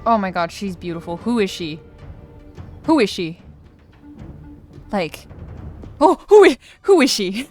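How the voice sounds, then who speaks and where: Woman, casual gaming commentary, reactive, repetitive, sweary, mid-pitched, music in background. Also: very animated, unhurried, pauses often, darkish tone. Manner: reactive, sweary, repeats herself. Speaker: let's player. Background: game music.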